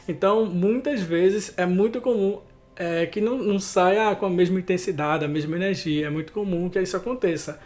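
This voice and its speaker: A man's voice: sits high, darkish tone. A man from Recife with a Pernambuco Brazilian Portuguese accent, a voice artist explaining his craft in an interview, conversational and often adding tag questions.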